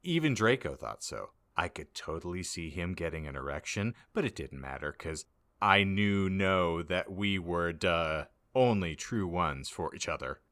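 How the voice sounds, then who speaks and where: A man's voice: sits low, fairly bright tone. A man reading aloud as a podcast host, narrating a comic audiobook in a mock-serious, theatrical way.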